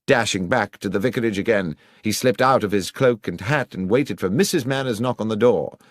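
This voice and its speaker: A man's voice: on the low side, brisk, dry recording, studio quality, pitched above the performer's own voice, close to an everyday voice. A man voicing a confident, theatrical detective in an audiobook, with a precise, declamatory and assured delivery. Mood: confident, accusing. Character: confident, theatrical male detective explaining his deductions.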